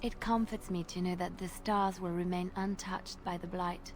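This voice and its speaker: A woman voicing a gentle young woman bard in a video game, telling old tales in a soft, measured and earnest way over faint game ambience. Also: fairly low pitch, fairly even intonation, a little hiss or hum, lightly acted. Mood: storytelling. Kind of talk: storytelling in video game dialogue.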